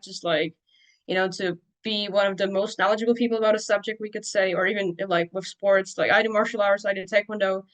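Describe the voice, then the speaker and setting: A woman's voice: medium pitch, fairly bright tone, poor audio. Young woman, native Czech speaker, podcast guest, casual, filler-heavy. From Czech Republic. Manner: loose, filler-heavy, restarts often. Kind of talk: casual interview talk.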